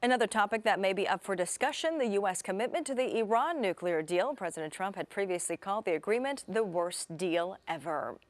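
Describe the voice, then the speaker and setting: A woman's voice: mid-range voice, animated, brisk, hardly any pauses. A woman with an American accent reading scripted television news as an anchor. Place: TV studio.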